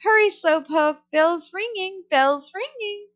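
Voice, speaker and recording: A woman voicing a rude, bossy female pet parrot in a children's storybook, yelling repetitive, mocking phrases on a dull recording. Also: very high voice, slow pace, pitched above the performer's own voice, exaggerated voice. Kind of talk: children's storybook read-aloud. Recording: dull, lo-fi sound.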